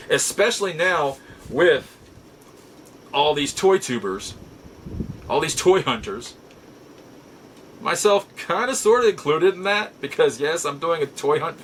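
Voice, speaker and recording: Middle-aged man rambling over clear room hum. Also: on the high side, fairly bright tone, poor audio. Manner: exasperated, emphatic, repeats phrases for stress. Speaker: host of a nostalgia and toy collecting show. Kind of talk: casual rambling storytelling to viewers.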